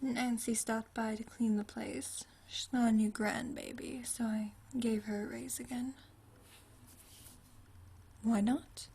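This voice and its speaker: Woman playing a husky, whispery, slow-talking vampire lady. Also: fairly high pitch, flat delivery, full character voice. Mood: languid, amused. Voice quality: soft, breathy, whispery. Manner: teasing, dryly witty, languid, genteel turns of phrase. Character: ageless, wry, teasing, genteel female vampire friend. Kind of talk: ASMR roleplay, intimate one-sided conversation.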